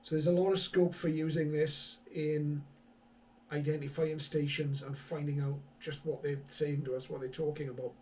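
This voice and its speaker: A man's voice: fairly high pitch, flat delivery, moderate pace, mellow, far from the mic, slight background noise, low-quality recording. A man presenting a hobby video in a casual, conversational explaining style, with some hesitant thinking aloud. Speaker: hobby radio vlogger, presenter. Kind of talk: casual tech explainer to camera.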